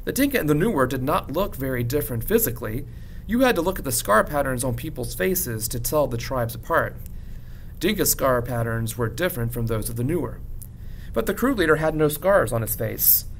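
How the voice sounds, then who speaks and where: A man narrates a children's story in a classroom read-aloud style. His voice sits at a middle pitch. A noticeable low rumble runs behind the recording. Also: sing-song, moderate pace. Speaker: teacher reading a book aloud to students. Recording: clear background rumble noise.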